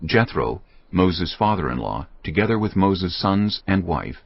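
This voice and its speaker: A man's voice: low voice, sing-song, measured pace, gravelly, husky, dry recording, muffled recording. A man narrating scripture from a script in a solemn storytelling manner. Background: music.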